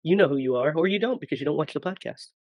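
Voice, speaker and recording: Hoarse man hosting a podcast. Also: a little high, animated, rapid-fire, darkish tone, dry recording. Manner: joking, laughs often. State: hoarse from a cold. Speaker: podcast host. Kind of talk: casual podcast chat.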